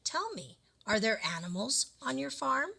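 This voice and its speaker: Woman as a commanding wise man, husky-edged. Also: high voice, sing-song, bright, forceful tone, pitched above the performer's own voice, full character voice. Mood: calm authority. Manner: measured, authoritative, instructing. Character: wise old village man giving calm, odd orders.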